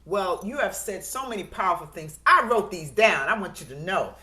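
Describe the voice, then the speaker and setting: A woman's voice: fairly low pitch, expressive, normal speed, pushed and bright. A woman hosting an online event conversationally, in an effusive, admiring way. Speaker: host.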